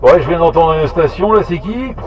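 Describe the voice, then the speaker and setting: A man chatting casually over amateur radio in a halting way, repeating words as he thinks. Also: fairly high pitch, expressive. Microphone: two-way radio transmission. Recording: muffled two-way radio, faint noise.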